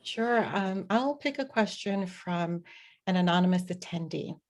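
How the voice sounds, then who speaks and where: A woman diplomat answering audience questions on a webinar panel, measured and explanatory, with frequent fillers. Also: lowish voice, expressive.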